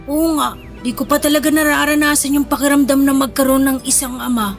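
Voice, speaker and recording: Very high, cheeky little brother. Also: female voice, steady tone, fairly quick. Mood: wistful. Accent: Filipino. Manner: playful, childlike, sassy. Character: cheeky, favored younger sibling, a boy child. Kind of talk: Tagalog radio drama acting. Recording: music under the voice.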